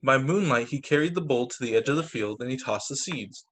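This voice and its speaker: A man reading picture books aloud to children as a teacher, expressive, with brief casual asides. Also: medium pitch, a little fast. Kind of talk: animated children's story reading. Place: remote video story time.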